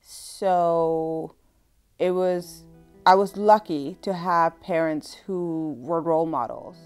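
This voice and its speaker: Low-voiced young woman recalling calmly. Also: takes it slowly, smooth, soft background music. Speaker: interviewee. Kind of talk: personal interview recollection.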